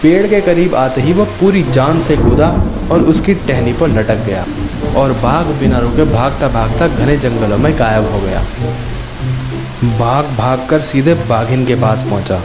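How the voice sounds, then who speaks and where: A man's voice: medium pitch, unhurried, reverberant, over loud music, rough recording. A man narrating a scripted children's story as a storyteller.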